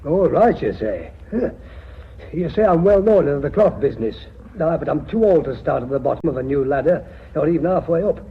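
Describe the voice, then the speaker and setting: A man's voice: on the high side, expressive, fairly quick, slight background noise. An actor playing a blunt, worried, ageing mill man turned director in a British radio drama, with a plaintive manner. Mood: aggrieved, bewildered. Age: older. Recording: dull old radio recording.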